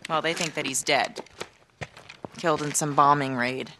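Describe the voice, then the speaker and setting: A woman's voice: sits low, steady tone, moderate pace, sharp tone. Idealistic female journalist, pointed, earnest, video game drama, faint background noise. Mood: earnest, grave. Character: sharp, idealistic, skeptical young female journalist. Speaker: journalist character. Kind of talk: naturalistic video game cutscene acting.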